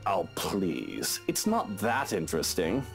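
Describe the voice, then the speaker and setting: A man's voice: sits low, huge pitch swings, takes it slowly, creaky, faint music behind, heavily acted. Man doing a smug, teasing man with swooping delivery. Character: smug, teasing adult man, flirtatious uncle type. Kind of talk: character voice read from a visual novel.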